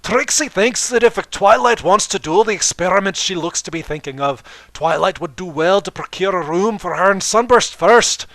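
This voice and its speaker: A man's voice: sits high, expressive, normal speed, few pauses, a bit bright, no room sound, voice pushed up. A man voicing a boastful, theatrical female magician pony with a put-on Scottish accent and a pompous, declamatory manner. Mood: teasing. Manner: pompous, declamatory, third-person speech.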